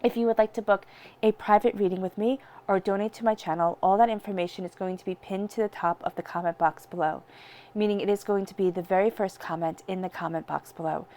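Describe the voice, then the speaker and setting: Woman, tarot reader, stuffed-up nose from a cold, chatty, talks right to the viewer, mid-pitched voice. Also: steady tone, quick pace, warm tone. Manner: chatty, speaks straight to viewers. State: congested from a cold. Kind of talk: direct-to-camera tarot reading.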